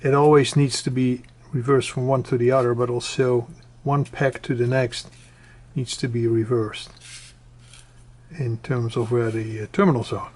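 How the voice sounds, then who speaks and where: A man presenting an informal hands-on do-it-yourself tutorial, explaining step by step in a halting way and repeating his instructions. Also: medium pitch, level tone, a little mellow. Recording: clearly noisy home recording with clicks.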